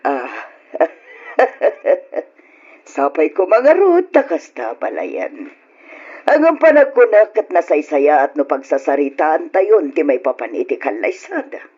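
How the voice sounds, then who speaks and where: An approving middle-aged mother in a radio drama, with an Ilocano accent. Her voice is husky and moves up and down in a lively way. The sound is muffled and lo-fi. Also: deep voice, slow, heavily acted. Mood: agreeable. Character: middle-aged parent, amused, approving prospective in-law. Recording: dull lo-fi radio recording.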